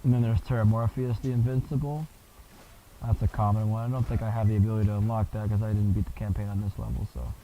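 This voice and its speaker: Low bassy dude rambling through his game stream, sounds kinda phone-like with a little hiss. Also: level tone, fast talker. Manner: rambling, slangy. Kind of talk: casual gameplay commentary.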